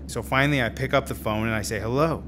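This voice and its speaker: An actor playing a laid-back slacker screenwriter in telesales, rambling and slangy in a radio drama. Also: male voice, medium pitch, fast, noisy background, natural voice. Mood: animated storytelling. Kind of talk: naturalistic radio drama acting. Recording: music under the dialogue.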